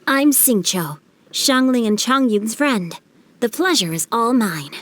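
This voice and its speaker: A woman's voice: on the high side, lively intonation, unhurried, slight background noise. A woman voicing a refined, bookish teenage boy with an eloquent, polite manner in a game dub. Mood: courteous. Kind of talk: game cutscene dub acting.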